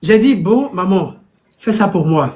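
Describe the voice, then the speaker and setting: A man's voice: high voice, expressive, measured pace, darkish tone. A man talking earnestly as an interview guest, often repeating phrases to stress his points. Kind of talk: interview over a call.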